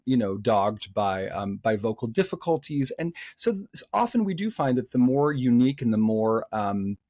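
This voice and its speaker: A man's voice: medium pitch, darkish tone, dry recording, studio quality. A man who coaches singers, speaking as a podcast guest in a thoughtful, conversational way, with frequent fillers and restarts.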